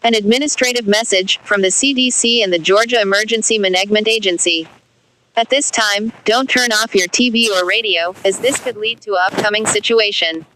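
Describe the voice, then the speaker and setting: A woman playing a brisk, official emergency alert announcer, reading a scripted warning. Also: mid-range voice, sharp tone, a little hiss or hum, close to an everyday voice, rough recording. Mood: official, urgent.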